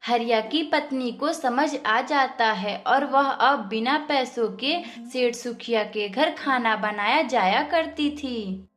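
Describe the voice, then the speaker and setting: A woman's voice: on the high side, fairly bright tone, reverberant, slight background noise. A woman narrating a scripted moral story as its storyteller, with music behind her.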